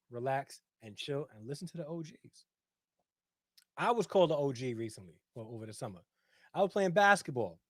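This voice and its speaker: A man's voice: fairly high pitch, no echo, studio quality. Chatty man hosting a livestream. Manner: talkative, repeats himself, says "right?" often; reads out viewer comments. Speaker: livestream host. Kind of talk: casual livestream commentary.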